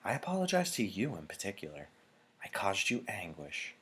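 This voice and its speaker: Man voicing a stately female elder, pitched up. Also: wildly swooping pitch, pushed and bright, slightly rough, exaggerated voice. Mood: gracious, apologetic. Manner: formal, measured, solemn. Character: gracious, commanding female chief elder addressing a crowd.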